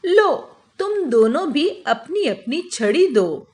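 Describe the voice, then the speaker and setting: Woman playing a high-voiced, clever royal adviser. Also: very animated, takes it slowly, darkish tone, heavily acted. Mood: firm, accusing. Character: clever, self-assured male royal adviser Birbal. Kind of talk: Hindi children's moral cartoon dubbing.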